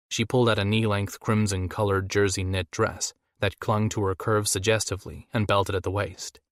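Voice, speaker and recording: A man's voice: lowish voice, no echo, clean studio sound. A man reading romance fiction as a scripted audiobook narration.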